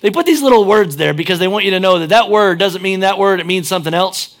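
A man's voice: high-pitched, fast talker. A pastor preaching a sermon, chatting and joking, then driving his points home with emphatic peaks.

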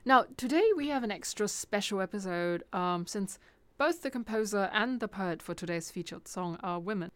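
A woman co-hosting a podcast, hesitant and filling her pauses with small sounds. Her middle-pitched voice is recorded close and dry, with no room around it. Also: animated, fairly bright tone, smooth, dry recording. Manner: hesitant, with fillers. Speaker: podcast co-host and pianist. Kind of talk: casual podcast chat.

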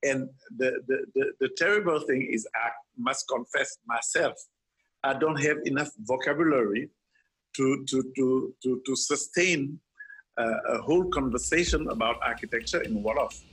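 A man's voice: mid-range voice, normal speed, fairly bright tone, poor audio. A man from Senegal, an architect, answering interview questions earnestly, with frequent restarts and repeated words.

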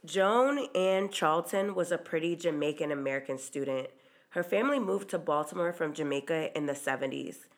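A woman hosting a true crime podcast, narrating in a casual, chatty way with occasional ums, her voice stuffy from a cold. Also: low-pitched. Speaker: podcast host.

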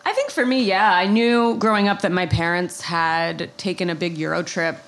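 A woman's voice: on the low side, normal speed. An American woman telling personal stories in a casual, conversational way as a podcast guest. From New York and New Jersey, United States. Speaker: podcast guest, travel writer.

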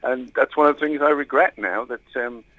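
A man's voice: medium pitch, normal speed, no room sound. A man from Wales, an author guest on a radio interview, talking hesitantly with lots of ums and restarts. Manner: hesitant, many fillers and restarts. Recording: tinny phone line.

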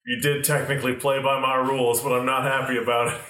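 A man's voice: mid-range voice, little pitch movement, fast, fairly bright tone, close to an everyday voice. A man playing a blunt, grumbling street vagrant in an improvised comic radio play. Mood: disgruntled, threatening. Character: rowdy menacing street vagrant begging for change. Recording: roomy, echoey recording.

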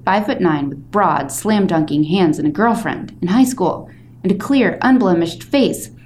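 A woman's voice: on the low side, animated, moderate pace, darkish tone, lightly acted. Woman reading as chatty, theatrical young boy narrator. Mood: chatty, self-deprecating. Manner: chatty, self-deprecating, theatrical. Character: short, wisecracking, dramatic eighth-grade boy narrator. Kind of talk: expressive first-person audiobook-style reading. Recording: faint background noise.

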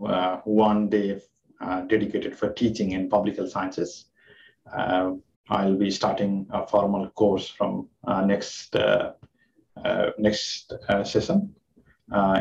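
A man from Nepal, an oncologist, answers interview questions in a soft, hesitant way. He speaks from a distance in an echoey room, and the remote call makes the sound dull. A faint noise runs underneath. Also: on the low side, level tone, a little slow, vocal fry. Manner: soft-spoken, hesitant with many fillers. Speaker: oncologist guest.

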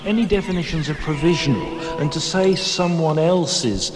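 A man posing a challenge in a debate, questioning in a halting way. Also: fairly high pitch, expressive. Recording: echoey hall, music mixed in. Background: music.

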